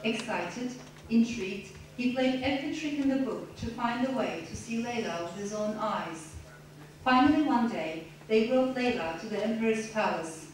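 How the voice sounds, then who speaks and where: A woman's voice: a little high, lively intonation. Woman reading in an echoey room. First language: Turkish. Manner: measured, reflective, with some restarts. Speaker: author at a reading. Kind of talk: reading aloud then answering questions. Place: lecture room. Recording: echoey room, distant mic, faint noise.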